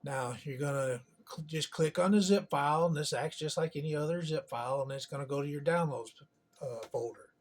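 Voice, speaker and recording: A man giving an informal step-by-step tutorial in a halting, unscripted way, thinking aloud as he goes. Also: fairly high pitch. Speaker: tutorial presenter. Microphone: camera on a tripod.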